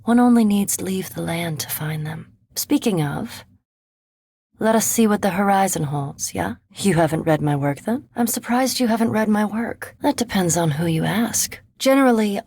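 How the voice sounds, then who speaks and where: Video game vampire academic: centuries old, composed, curious, erudite; slightly husky female voice, recorded close and dry. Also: fairly low pitch, a little mellow, no echo. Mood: composed, pleasant, then dry, cool. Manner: erudite, measured, formal. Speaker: animancy researcher and ship companion in a fantasy video game. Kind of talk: video game character dialogue.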